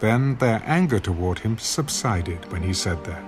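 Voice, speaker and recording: Low-voiced male scripture narrator over music. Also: lively intonation, unhurried. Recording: loud background music.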